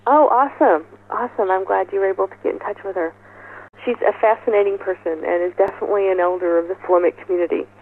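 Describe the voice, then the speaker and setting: A woman's voice: medium pitch, fairly even intonation, quick pace, slight background noise. Woman on a narrow, tinny phone line. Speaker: festival organizer being interviewed. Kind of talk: informal phone interview, explaining event details. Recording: muffled narrowband telephone line.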